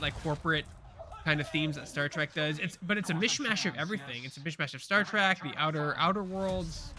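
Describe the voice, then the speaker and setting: A man chatting on a live pop culture show in a rambling, eager way. His voice sits fairly high and sounds bright and forward, and he talks quickly, piling up fillers and restarts as he goes. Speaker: co-host. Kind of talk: casual pop culture chat.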